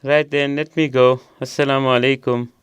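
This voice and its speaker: An actor playing a gentle, reassuring older uncle in an audio drama. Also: male voice, medium pitch, normal speed, clear, resonant tone, no room sound, natural voice. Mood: concerned, kindly. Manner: calm, reassuring.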